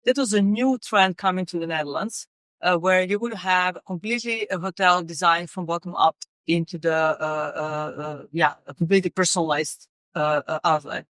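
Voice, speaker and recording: A middle-aged woman from Serbia speaks English in a relaxed interview. Her voice is smooth and resonant. She pauses often, with fillers and repeated words, and the sound is dry and close to the mic. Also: lowish voice, no room sound. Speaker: guest, company executive. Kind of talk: casual interview conversation.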